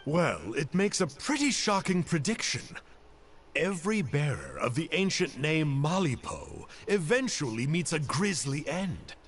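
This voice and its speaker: Composed, studious game hero; higher voice, varied delivery, measured. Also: male voice, slight background noise. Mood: dry. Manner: calm, measured reading aloud. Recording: game audio with clear music behind.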